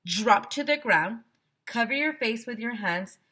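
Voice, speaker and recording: Brisk, commanding female safety instructor, played by a storybook reader. Emphatic drills, very animated pitch, urgent. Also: husky, exaggerated voice. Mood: firm, instructive.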